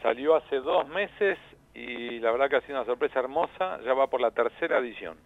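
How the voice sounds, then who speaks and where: A man from La Plata with a Rioplatense accent, a journalist and author, explaining history in a measured, storytelling way as a radio guest. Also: mid-range voice. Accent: Rioplatense Spanish. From La Plata, Argentina. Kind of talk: phone-in radio interview. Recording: dry tinny phone line.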